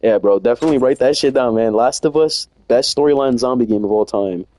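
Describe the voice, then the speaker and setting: A man's voice: mid-range voice, fairly quick, warm tone. A young man chatting casually and rambling in slang while streaming a game from home. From United States. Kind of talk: casual chat while playing.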